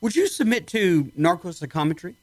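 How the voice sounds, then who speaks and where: A man's voice: high-pitched, expressive, a little fast, dry recording. A man acting in an old-time radio drama as a probing, authoritative army psychiatrist with a clipped, commanding manner. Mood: clinical.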